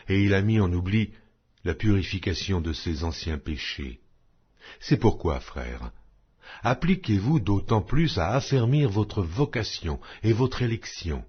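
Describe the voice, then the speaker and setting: Man, very low voice, husky, lively pitch, solemn scripture reading. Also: lo-fi sound. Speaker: Bible reader.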